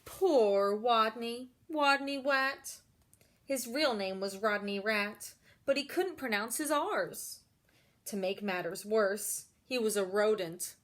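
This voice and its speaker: A woman with a fairly high voice reads a picture book aloud at a slow pace. Her delivery is expressive, with asides and questions aimed at children. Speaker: storybook reader. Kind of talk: children's read-aloud with asides to young listeners.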